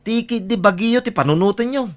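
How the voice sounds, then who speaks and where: A man's voice: sits high, fairly quick, close to an everyday voice. A man playing an exasperated grown son in an audio drama, scolding and nagging his overly generous father. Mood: scolding, indignant.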